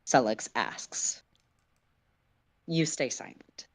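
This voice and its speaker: A woman's voice: very deep voice, very slow. A woman narrating a story dramatically in the second person, as a livestream reader.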